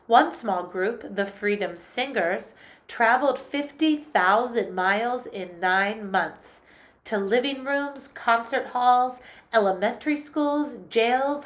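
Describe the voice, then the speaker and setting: A woman music teacher reads a picture book aloud to children. She tells the story slowly and clearly, her voice sitting a little high. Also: a bit bright.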